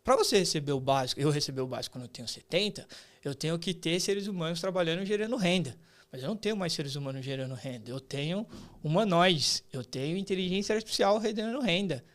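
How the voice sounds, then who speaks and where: Higher-pitched Brazilian man explaining emphatically. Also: expressive, fast. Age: late 30s. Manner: emphatic, colloquial, asks rhetorical questions. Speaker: podcast guest, artificial intelligence expert and professor. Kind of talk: conversational explanation in an interview.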